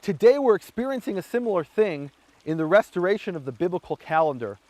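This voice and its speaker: A man giving a Bible teaching talk, telling history as a lively story and acting out the quoted voices. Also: high voice, fast, no echo.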